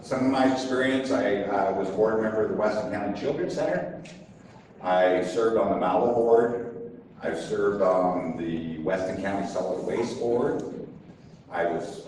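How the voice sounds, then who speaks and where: A man's voice: deep voice, background noise. An older man from Wyoming introducing himself as a board candidate, plain-spoken and hesitant, with many fillers. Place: convention center meeting room. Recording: echoey hall from far off.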